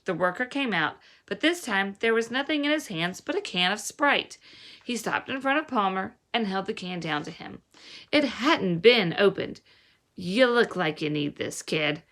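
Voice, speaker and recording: Expressive woman reading a children's novel. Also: mid-range voice. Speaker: audiobook reader. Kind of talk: dramatic read-aloud of a children's novel.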